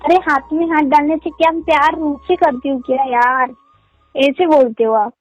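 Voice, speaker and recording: Woman acting defensive girlfriend, young, high voice, insistent questions, phone drama, music underneath. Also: steady tone, moderate pace, no room sound, close to an everyday voice. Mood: aggrieved, insistent. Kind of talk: acted phone-call argument in casual speech. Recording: muffled lo-fi call sound under music.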